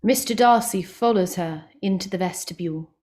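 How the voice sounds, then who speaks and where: A woman's voice: medium pitch, moderate pace, a little mellow. A woman reading out stage directions as scripted narration for a staged drama reading.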